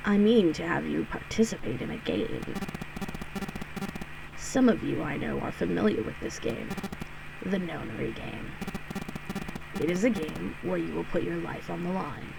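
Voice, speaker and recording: A woman voicing a cold, eerie masked male captain who speaks harshly and quietly. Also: fairly low pitch, animated, a little slow, gravelly, pauses often, noisy background, heavily acted. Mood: cold menace.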